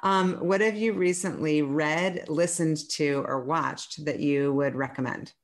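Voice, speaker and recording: Low-voiced woman hosting a chatty podcast. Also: measured pace. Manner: friendly, laughs often, chatty questions. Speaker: podcast host. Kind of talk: casual podcast interview.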